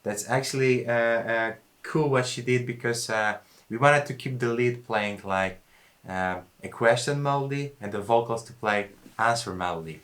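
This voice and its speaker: A man's voice: medium pitch, moderate pace, a bit bright. A man who produces music, chatting casually and loosely about studio work, often adding "you know".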